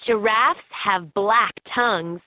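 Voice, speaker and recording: A woman's voice: mid-range voice, takes it slowly, no echo, lightly acted. A woman voicing an upbeat guide in a children's educational animal game, in scripted narration with slightly dull game audio.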